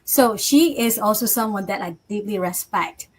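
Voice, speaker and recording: A woman from Malaysia hosting a live online interview, polite and measured as she introduces her guests over a video call. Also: medium pitch, slightly rough. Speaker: interview host.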